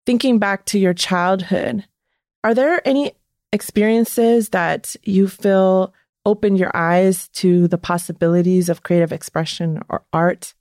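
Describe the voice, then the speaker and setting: A woman's voice: medium pitch, steady tone, measured pace, a little mellow, dry recording, studio quality. A woman hosting an interview, speaking in an effusive, encouraging way. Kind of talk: podcast interview.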